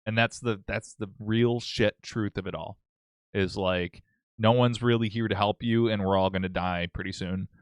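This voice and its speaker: A man's voice: lowish voice, fairly even intonation, normal speed, clear, resonant tone, no echo, clean studio sound. A man in his thirties, a podcast guest, speaking in a blunt, philosophical, matter-of-fact way. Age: 30s. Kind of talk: casual podcast chat.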